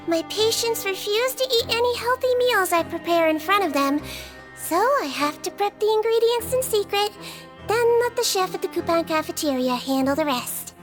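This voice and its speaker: A tiny, sweet game nurse, with an extremely high, childlike voice. She speaks gently and earnestly, like someone small who cares for patients, with music clearly playing behind her. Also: lively intonation, moderate pace. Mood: earnest, rueful. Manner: gentle, earnest, softly polite. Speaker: doctor. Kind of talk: video game voice acting.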